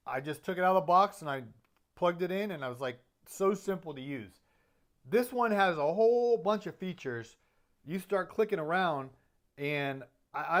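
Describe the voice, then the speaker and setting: Man, slightly higher voice, chatty podcaster, casual gear review, lots of ums and restarts. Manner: chatty, self-deprecating, many fillers and restarts.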